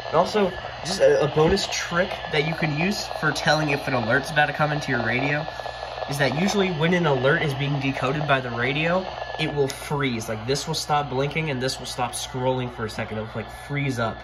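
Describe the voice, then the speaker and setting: A man's voice: a little high, fairly quick, heavy background noise. A man giving an informal hands-on tutorial, thinking aloud as he goes, recorded indoors on a handheld phone. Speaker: video creator giving a demonstration. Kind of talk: casual hands-on tutorial narration. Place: indoors at a wooden desk.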